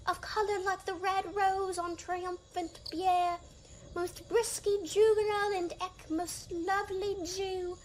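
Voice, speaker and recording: A woman's voice: extremely high pitch, slight background noise, rough recording. A woman playing an earnest, clueless amateur male actor who declaims lovestruck verse in a sing-song way in a Shakespearean comedy radio play.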